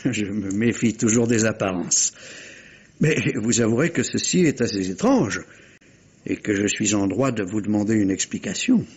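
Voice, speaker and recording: Un comédien joue un inspecteur de police méthodique et courtois, à la voix voilée, poliment insistant, dans un feuilleton policier radiophonique à l'ancienne. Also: male voice, fairly low pitch, animated, darkish tone, husky. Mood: cautious, probing. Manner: deliberate, insinuating, politely insistent. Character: methodical, courteous, probing male police inspector. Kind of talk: old French radio mystery acting. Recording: dull lo-fi vintage radio sound.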